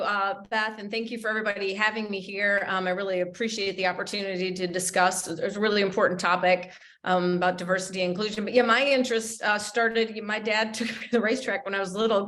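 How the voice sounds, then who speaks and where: Middle-aged woman, flat chatty recollection. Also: medium pitch, brisk. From Michigan, USA. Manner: chatty, rambling with fillers. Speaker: motorsports lawyer, panel guest. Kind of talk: panel interview, recounting career.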